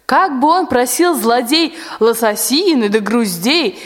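A female performer playing a sharp-tongued, suspicious old nanny in a rhymed radio play, scolding in peasant speech. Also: medium pitch, lively intonation, a little slow. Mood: indignant, wary. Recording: long hall-like reverb.

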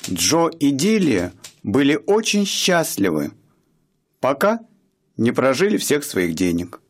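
A man narrating a radio play in a slow, wry storytelling manner. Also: wildly swooping pitch. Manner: slow, wry storyteller with wide swings in pitch.

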